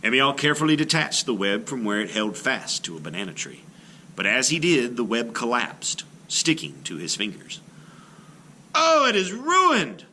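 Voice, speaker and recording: A man reading a children's story aloud in an expressive, theatrical style, like a teacher to a class. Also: medium pitch, normal speed, slight background noise. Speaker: art teacher reading a picture book aloud.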